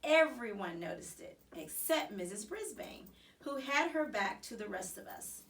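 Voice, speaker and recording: A woman reading a children's story aloud in an expressive, animated way with dramatic emphasis. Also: a little high, unhurried, recorded from a distance. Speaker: storybook reader.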